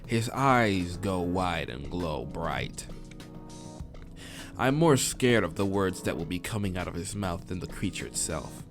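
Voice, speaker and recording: Low male voice, story narration, casual asides, informal delivery, close mic, faint game music behind. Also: lively intonation, a little slow. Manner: informal, stumbles over the text and restarts. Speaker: let's player reading a visual novel aloud. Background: game soundtrack music.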